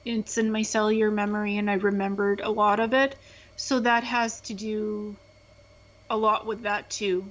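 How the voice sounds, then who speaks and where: A woman from Ukraine speaks earnestly in a conversational interview, often circling back and restarting her sentences. Her voice sits at a middle pitch for a woman. The recording is slightly muffled and lo-fi. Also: flat delivery, normal speed. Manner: earnest, repeats herself and restarts phrases. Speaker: guest. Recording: slightly dull, lo-fi sound.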